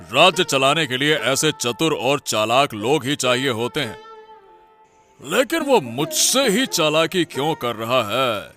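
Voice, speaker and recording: Man acting a slow, brooding cartoon king. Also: mid-range voice, sing-song, bright, forceful tone, soft background music. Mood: brooding, firm. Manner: measured, stately. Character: troubled, suspicious king, brooding and deliberate. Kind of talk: Hindi cartoon story dialogue.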